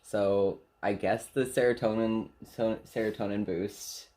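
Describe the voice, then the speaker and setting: A soft-spoken young man co-hosting a casual get-to-know-you chat in a small bedroom, laughing often and speaking candidly. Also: on the low side, steady tone, measured pace. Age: young adult. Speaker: channel co-host.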